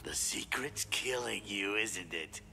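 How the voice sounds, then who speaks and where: An actor playing a gleefully menacing masked slasher killer in a video game, gravelly and teasing, drawing out his words. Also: on the low side, animated, pushed and bright, a little hiss or hum, full character voice. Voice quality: gravelly, breathy at times. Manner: sinister, teasing, drawn-out delivery. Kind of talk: video game villain acting.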